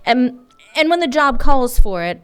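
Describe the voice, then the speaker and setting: A woman playing a witty, flirtatious, slightly tipsy private detective in a noir radio play, with a teasing, halting manner. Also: high voice, big pitch swings, a bit bright, clean tone, full character voice. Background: music.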